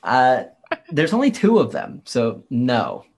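A man's voice: mid-range voice, wildly swooping pitch, takes it slowly, vocal fry, slightly rough. A man chatting casually as a livestream guest, informal and rambling in how he talks. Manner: informal, rambling, frequent 'like'. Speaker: livestream guest, performer and tarot reader. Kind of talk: casual chat.

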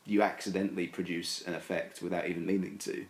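A man's voice: deep voice, level tone, fast, echoey room. A man talking casually as a podcast guest, hedging and rambling with many fillers and restarts. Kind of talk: casual discussion.

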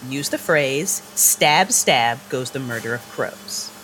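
An actress playing a calm, calculating vampire woman who gives orders in a deliberate, businesslike way in an audio drama. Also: rumbling low, a little hiss or hum, exaggerated voice, rough recording. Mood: businesslike, commanding.

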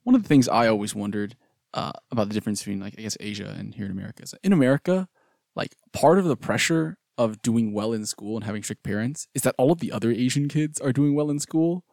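A young man hosts a relaxed podcast and feels his way through his questions, stopping and restarting often. His voice has an average pitch for a man. The sound is dry and close, with no room echo. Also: quick pace, gravelly. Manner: hesitant, many restarts while forming questions. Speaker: podcast host. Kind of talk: casual podcast conversation.

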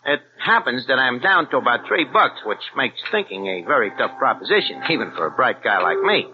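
An actor playing a boastful, streetwise small-time crook on the run, talking in slangy New speech in a 1940s radio drama. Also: male voice, medium pitch, very animated, brisk, noisy background, natural voice. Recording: muffled old radio recording with music.

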